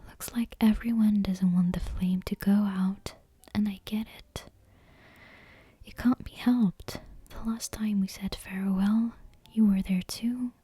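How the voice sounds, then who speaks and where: A woman's voice: medium pitch, fairly even intonation, a little slow, dark tone, slightly rough, dry recording. A woman voicing an earnest, stubborn little witch girl from a storybook in a whisper. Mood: resigned.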